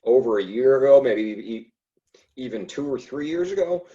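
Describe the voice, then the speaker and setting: A man's voice: medium pitch, lively intonation, moderate pace, dark tone, dull audio. A man who stutters, speaking in a hesitant way with many fillers and restarts in a casual podcast conversation. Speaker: podcast guest.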